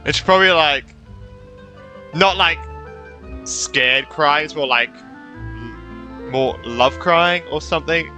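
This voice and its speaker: Guy doing casual lets play reactions, highish forceful voice, sweary, game music under. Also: takes it slowly, long pauses. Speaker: gaming streamer. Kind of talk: casual let's play commentary.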